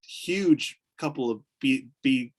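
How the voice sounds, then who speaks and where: An excited American man with a mixed Southern and Northern accent, chatting as a guest. His voice sits mid-range and swings up and down as he rambles and restarts his thoughts. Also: medium pitch, slow. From upstate New York. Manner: enthusiastic, rambling with many restarts and fillers. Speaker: guest, photographer. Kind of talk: casual interview chat.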